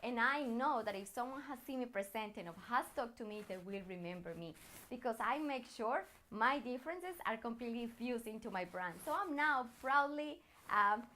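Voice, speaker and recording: A woman with a fairly high voice and a Colombian accent, a native Spanish speaker. She talks as a personal branding coach, addressing the listener directly. Her delivery is encouraging and earnest, in the manner of a motivational lesson. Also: lively intonation, moderate pace, pushed and bright, slight background noise. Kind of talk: motivational coaching talk to viewers.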